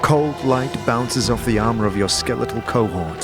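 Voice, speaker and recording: A man narrating a scripted second-person fantasy story as an atmospheric, measured storyteller. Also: mid-range voice, over music.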